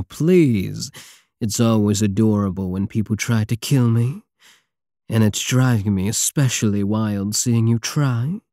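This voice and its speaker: Man acting a slow, swaggering, obsessive pirate king. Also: lowish voice, mellow, no room sound, studio quality. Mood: mocking, amorous. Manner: teasing, theatrical drawl. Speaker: audio roleplay voice actor. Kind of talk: intimate ASMR roleplay acting.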